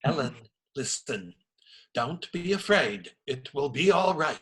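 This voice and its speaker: An actor playing an earnest, righteous priest who admonishes firmly in a radio play. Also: male voice, medium pitch, big pitch swings, takes it slowly, a bit bright. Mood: earnest, reassuring.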